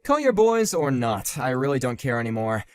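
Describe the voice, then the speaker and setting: A man voicing a calm, sardonic grown man who talks in a level, dry and cutting way, in a one-man voiced drama. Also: medium pitch, normal speed, soft background music, voice pushed down, natural voice.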